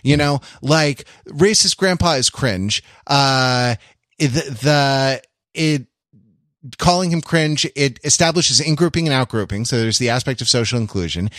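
A man's voice: medium pitch, fairly bright tone, no echo. Man thinking aloud, close dry sound. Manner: halting, self-correcting, analytical. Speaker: podcast co-host. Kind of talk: casual discussion.

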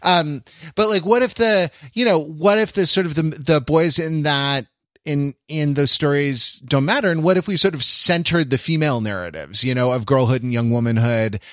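A man co-hosting a casual, reflective pop culture discussion, halting and stammering as he works out his ideas. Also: a little high, fairly bright tone, dry recording, clean studio sound. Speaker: podcast co-host.

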